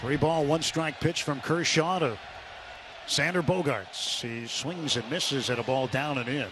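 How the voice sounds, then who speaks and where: Higher-voiced man calling baseball over loud noise. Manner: measured descriptive calls with statistics between pitches. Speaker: baseball play-by-play announcer. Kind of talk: live radio play-by-play commentary. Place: stadium broadcast booth. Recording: noisy ballpark radio broadcast. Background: loud ballpark ambience.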